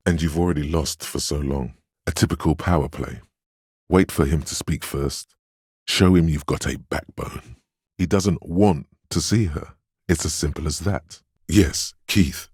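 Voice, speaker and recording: Very deep, stern voice of willpower. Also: male voice, gravelly, a little mellow, slightly rough, dry recording, clean studio sound, heavily acted. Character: stern, steadfast inner voice of willpower, scolding and encouraging. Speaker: voice actor for a video game. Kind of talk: scripted second-person game narration, inner voice.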